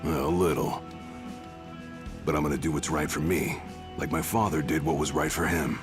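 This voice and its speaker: Young male bodyguard, fantasy game. Rock-bottom pitch, laconic, confident, blunt, with strong music underneath. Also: fairly even intonation, normal speed. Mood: resolute, casual. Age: young adult. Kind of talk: dramatic video game voice acting. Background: loud music.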